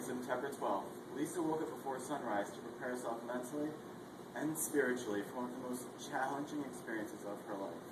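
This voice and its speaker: A young man acting as a calm, reflective husband narrating his final morning, in a medium voice. The sound is roomy, with noticeable noise throughout. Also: medium pitch, recorded from a distance, lightly acted. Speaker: readers theatre performer. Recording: echoey hall, noisy.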